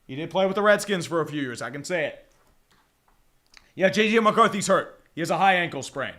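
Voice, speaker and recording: A man's voice: on the high side, animated, a little fast, pushed and bright. A man giving casual, profane live fan commentary on a football game, calling plays and rambling with exasperated restarts. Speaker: fan play-by-play streamer.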